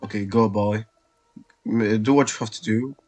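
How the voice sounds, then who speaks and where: A man's voice: lowish voice, steady tone, normal speed, darkish tone. A man doing casual let's play commentary, reacting in short remarks and talking back to the game's characters.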